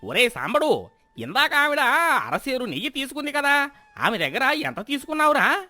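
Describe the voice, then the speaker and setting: A man voicing a scheming, falsely pious village moneylender in a loud, theatrical way for a moral story. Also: very high-pitched, very animated, moderate pace, no room sound, full character voice. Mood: mock outrage. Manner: loud, theatrical scolding and protesting. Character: sanctimonious, scheming male village moneylender feigning piety.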